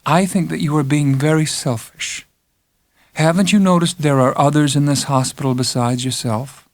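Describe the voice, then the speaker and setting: A man's voice: fairly high pitch, fairly even intonation, moderate pace, no room sound, voice pushed up, natural voice. A controlling head nurse performed by a male reader for an audiobook. She speaks with cold pleasantness and the patient condescension of a schoolteacher, recorded very dry. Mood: calmly reproving. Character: formidable middle-aged female head nurse, coldly pleasant, controlling. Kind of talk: audiobook character acting.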